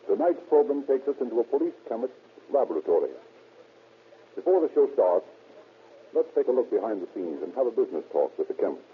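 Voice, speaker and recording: A man's voice: mid-range voice, big pitch swings, quick pace, pauses often, slightly rough. A man announcing a radio drama in a scripted 1930s broadcast style. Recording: tinny 1930s radio recording.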